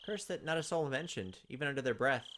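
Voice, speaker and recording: A man's voice: medium pitch, animated, fast talker, a bit bright, slight background noise. A man reading game text aloud as a let's play host, adding informal, playful commentary. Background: game music.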